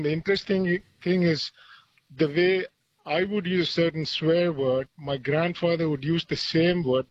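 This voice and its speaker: A man from Chennai calls in to a radio show, speaking thoughtfully and slowly finding his words. His voice is a little high for a man. The line sounds muffled and dull. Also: no room sound. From Chennai, India. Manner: thoughtful, hesitant, many fillers. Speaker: caller. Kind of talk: phone-in conversation.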